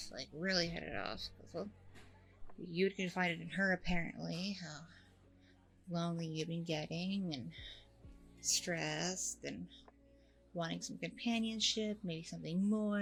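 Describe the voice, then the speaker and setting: A woman's voice: on the low side, creaky. A woman playing a friendly, nervous single mom on a blind date in an ASMR roleplay, rambling casually in a bubbly way. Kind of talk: ASMR audio roleplay, conversational.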